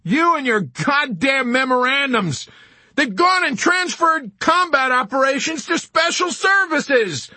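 A man's voice: very high voice, sing-song, a little slow, pushed and bright, dry recording, pitched above the performer's own voice, exaggerated voice. A man voicing an angry, foul-mouthed ex-sergeant who shrieks abuse down the telephone in an audiobook. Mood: rage and panic. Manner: shrieking, abusive.

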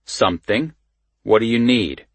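A man voicing a gruff, short-tempered police sergeant with a Baltimore accent, blunt, profane and impatient, in an audiobook. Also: on the low side, measured pace, no echo, pitched above the performer's own voice. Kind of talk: audiobook character dialogue.

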